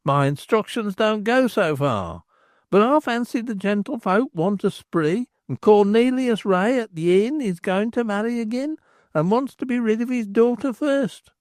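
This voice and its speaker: A man's voice: high-pitched, expressive, a little slow, no echo, clean studio sound, pitched above the performer's own voice. A man voicing a bustling rustic male town crier who blusters and calls out in a sing-song way. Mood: gossipy.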